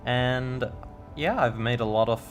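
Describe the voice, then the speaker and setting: A man's voice: medium pitch, unhurried, faint music behind. A young man from Finland with a Finnish accent, chatting casually on a game live stream and stumbling over his words. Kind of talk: casual live-stream chat while building.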